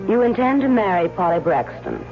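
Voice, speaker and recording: A woman's voice: mid-range voice, very animated, brisk. Dull old radio sound with music behind carries a possessive, scheming mistress. She is arch and sardonic, and her intonation sweeps extravagantly up and down. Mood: cross. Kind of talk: old-time radio drama acting.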